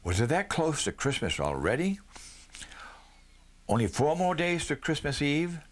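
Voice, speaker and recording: A man's voice: a little high, sing-song, measured pace, voice pushed up. A man reading the part of a proud, eager young boy in a storybook, with short, plain questions and retorts. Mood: wondering.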